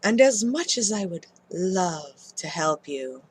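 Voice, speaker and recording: A woman's voice: on the low side, very animated, takes it slowly, slightly rough, heavily acted. A woman voicing a crude, irritable female oracle who speaks bluntly and swears. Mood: annoyed. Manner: blunt and profane.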